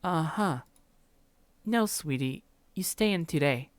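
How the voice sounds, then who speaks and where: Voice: medium pitch, animated, slow pace, dry recording. A performer voicing a clingy, possessive young woman from a visual novel in a sweet, coaxing manner.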